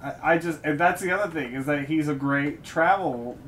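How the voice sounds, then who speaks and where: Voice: mid-range voice, normal speed, fairly bright tone. An opinionated podcast host from Chile, chatting casually and enthusiastically, with some swearing. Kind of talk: casual bantering chat. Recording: faint background noise.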